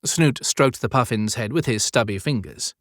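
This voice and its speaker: A man's voice: medium pitch, moderate pace, dry recording, studio quality. A man narrating scripted fiction as an audiobook storyteller.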